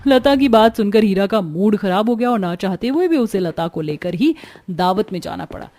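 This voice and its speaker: A woman's voice: mid-range voice, lively intonation, normal speed, mellow. A woman reading scripted narration for a cartoon family story.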